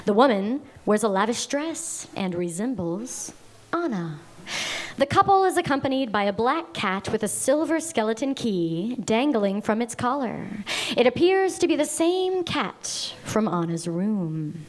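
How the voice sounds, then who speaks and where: Slow, deliberate woman reading stage directions. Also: fairly high pitch, sing-song. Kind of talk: table read narration.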